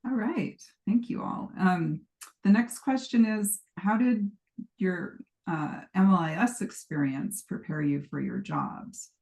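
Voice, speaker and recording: Low-voiced woman moderating a panel. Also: slow pace, vocal fry, darkish tone. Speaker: panel moderator, library science student. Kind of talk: panel moderating, reading out introductions and questions.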